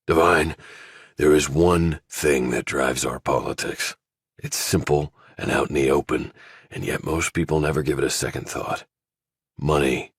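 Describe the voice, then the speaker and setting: Man voicing a very deep, commanding old general. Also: steady tone, a little slow, slightly rough, dry recording, studio quality, full character voice. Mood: grave, explanatory. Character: stern retired male general running a covert government office. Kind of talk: audiobook character dialogue.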